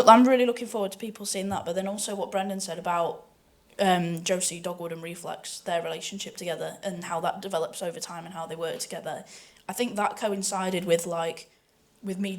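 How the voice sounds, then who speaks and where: Lower-voiced woman chatting on a panel. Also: fairly even intonation, fairly quick, hardly any pauses. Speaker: voice actor on a panel. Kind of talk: unscripted panel discussion.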